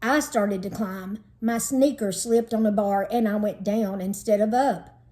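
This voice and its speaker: A woman narrating a children's storybook in an expressive, dramatizing read-aloud style. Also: medium pitch, unhurried.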